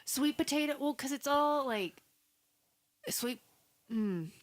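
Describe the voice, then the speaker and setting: A woman's voice: high voice, lively intonation, slow. A woman co-hosting a casual podcast chat, teasing and matter-of-fact. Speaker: podcast co-host.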